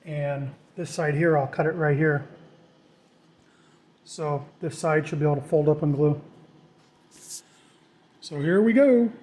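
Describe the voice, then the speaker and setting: A man's voice: fairly high pitch, a little slow, pauses often, darkish tone. A middle-aged man casually narrating a hands-on tutorial as he works, thinking aloud in an informal, self-deprecating way. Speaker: DIY vlogger.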